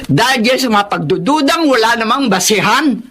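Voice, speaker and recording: A woman's voice: a little high, lively intonation, normal speed, fairly bright tone, slightly rough. A stern scolding mother. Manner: scolding, lecturing. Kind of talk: radio drama acting.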